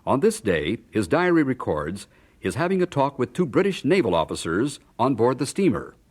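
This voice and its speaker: An American man narrating a radio drama in a formal, measured, solemn announcer's style. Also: medium pitch, huge pitch swings. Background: organ music.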